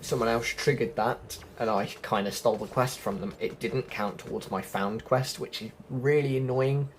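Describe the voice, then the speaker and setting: Man, gaming video host, mid-pitched voice, casual explanatory commentary, noisy game ambience behind. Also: normal speed. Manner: explanatory, chatty, addressing viewers. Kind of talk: casual gameplay walkthrough commentary.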